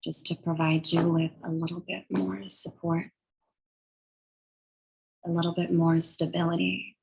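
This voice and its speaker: A woman leading a guided meditation in a soothing, measured, breathy way, leaving long pauses between phrases. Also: lowish voice, little pitch movement, darkish tone, poor audio. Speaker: guided meditation and yoga teacher.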